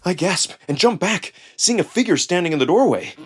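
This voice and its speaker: A man reading a visual novel in the first person as a theatrical, expressive narrator. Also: high voice, a little fast, a crowd in the background. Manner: theatrical, expressive, acts out emotion. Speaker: narrator and performer. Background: music.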